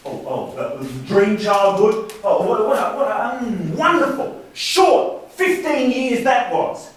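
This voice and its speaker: A man acting a bitter, middle-aged brother quarrelling in a stage drama, with halting, repeated words. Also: high voice, sing-song, exaggerated voice. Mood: bitter, heated. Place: echoey indoor space, far from the mic. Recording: very echoey room, distant mic, hiss.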